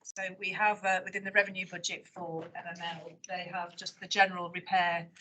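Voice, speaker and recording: A woman's voice: on the low side, flat delivery, a little fast, bright, forceful tone, a little hiss or hum, poor audio. A woman transport officer answering councillors at a formal committee meeting, hesitant and full of fillers.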